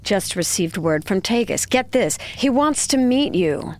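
A woman's voice: mid-range voice, expressive, a little slow. Tough female security chief, sarcastic, taunting, very swooping intonation, fantasy audio drama. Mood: brisk.

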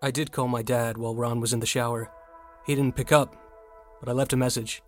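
A man's voice: medium pitch, little pitch movement, brisk, soft background music, lightly acted. An actor playing an earnest, conflicted young college rower in an audio drama, a young adult man with an American accent. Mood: reflective narration. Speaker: lead character and narrator. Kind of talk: audio drama acting with first-person narration.

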